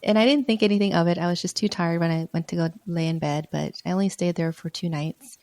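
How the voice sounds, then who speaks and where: A woman hosts her own podcast in an earnest, encouraging manner, her low voice mellow and a little hoarse from a sore throat. The sound is dry and close, with no room echo. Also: fairly even intonation, quick pace. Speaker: podcast host. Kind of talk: solo podcast talk.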